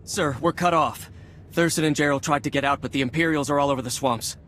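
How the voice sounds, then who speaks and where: Man as fast-talking loyal young rebel soldier. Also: a little high, a bit bright, slight background noise, close to an everyday voice. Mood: urgent. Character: young male rebel underling, loyal, desperate. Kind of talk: video game voice acting.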